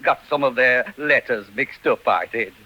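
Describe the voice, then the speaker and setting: A man's voice: medium pitch, normal speed, lightly acted. An actor playing a garrulous, self-important village postman and bell ringer in 1940s radio style. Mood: chirpy, boastful. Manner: garrulous, cheerfully boastful. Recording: dull 1940s radio recording.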